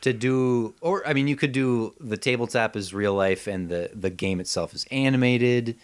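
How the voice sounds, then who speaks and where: A man co-hosting a podcast, chatting casually and comedically as he pitches ideas. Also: moderate pace, clean tone. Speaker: podcast co-host.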